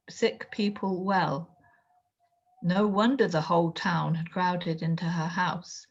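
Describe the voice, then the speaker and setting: A woman reads a children's story with lots of rise and fall, taking her time. Her voice is husky, and the recording is slightly dull. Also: mid-range voice. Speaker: storyteller, reader. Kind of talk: expressive children's story reading.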